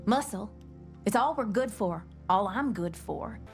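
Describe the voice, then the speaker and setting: Woman acting a female demon assassin, slow, resigned, self-deprecating, comic dub, faint music. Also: medium pitch, expressive, vocal fry. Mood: resigned, bitter.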